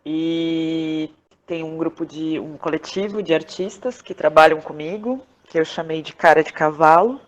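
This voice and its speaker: A Brazilian woman with a fairly low voice talks in a reflective interview style. She is thoughtful and halting, often searching for the right word as she describes things. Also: dull audio. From Porto Alegre, southern Brazil. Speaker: podcast guest, theatre director, playwright and actress.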